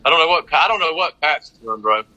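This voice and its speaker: Bright North Carolina Southern man talking sports. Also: a little high, animated, fairly quick, lo-fi sound. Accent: North Carolina Southern American. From North Carolina, United States. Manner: rambling, repeats phrases for emphasis. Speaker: sports fan panelist. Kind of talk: casual sports chat.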